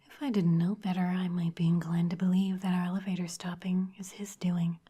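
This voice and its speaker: A woman's voice: lowish voice, flat delivery, a little fast, slightly rough. A woman playing a whispering vampire boss. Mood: veiled threat. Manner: soft whispering, teasing with veiled threats. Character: poised female vampire office executive, teasing and menacing. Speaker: ASMR performer. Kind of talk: ASMR roleplay.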